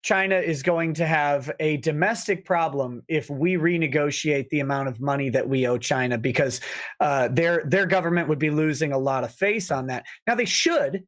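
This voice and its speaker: A man co-hosting a casual livestream chat, explaining points in a measured way with quick restarts. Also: a little high. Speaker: co-host.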